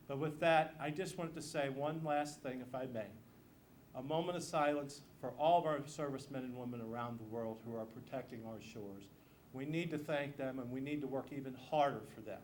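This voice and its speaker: An American man speaking as a political candidate, giving an emphatic campaign speech with repeated phrases. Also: mid-range voice. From Missouri, United States. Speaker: state legislator and candidate. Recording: noisy live event room recording.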